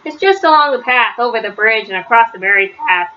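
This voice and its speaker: Woman playing a breezy, high, bright storybook rabbit. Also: lively intonation, a little fast, highs cut off. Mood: breezy, coaxing. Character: playful, carefree rabbit inviting a friend to play.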